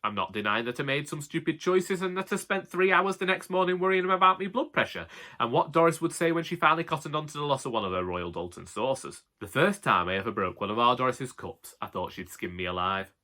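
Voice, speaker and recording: A man's voice: lowish voice, pushed and bright. A man with a Northern English accent narrating a comic first-person audiobook as a droll, grumbling, long-suffering husband.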